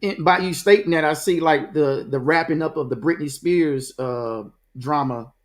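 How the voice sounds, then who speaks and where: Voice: medium pitch, normal speed. An interview host asking loose, chatty, rambling questions.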